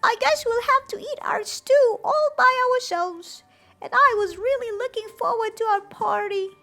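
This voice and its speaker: A woman voicing an excitable, exclaiming little girl witch in a sing-song storybook read-aloud. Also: extremely high pitch, moderate pace, gravelly, soft background music, voice pushed up, heavily acted. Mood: puzzled, disappointed.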